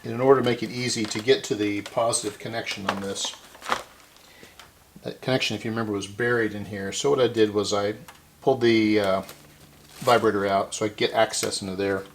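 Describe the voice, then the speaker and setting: A man's voice: fairly low pitch, fairly even intonation, a little fast, background noise. A middle-aged man hosting a casual hands-on repair demonstration, talking to himself unscripted as he works. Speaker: host of a vintage electronics repair channel. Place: indoor electronics workbench.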